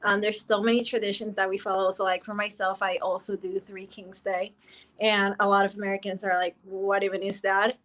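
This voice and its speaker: A woman's voice: mid-range voice, flat delivery, fairly quick, a bit bright. A woman panelist speaking earnestly and appreciatively in a casual panel talk.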